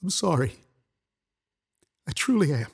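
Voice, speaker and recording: A man's voice: fairly high pitch, very animated, measured pace, creaky, husky, exaggerated voice. A man playing a grief-stricken, earnest father in an audio drama, speaking plaintively. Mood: apologetic.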